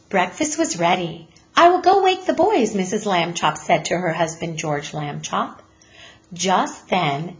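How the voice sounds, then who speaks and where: A woman teacher reading a children's story aloud in an expressive, deliberate manner. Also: fairly low pitch.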